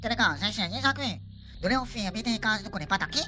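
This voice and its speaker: A woman's voice: mid-range voice, expressive, very fast, lightly acted. A woman with a Réunion accent acting an impatient, bickering pal in a radio soap comedy, in blunt Creole street talk. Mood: irritated.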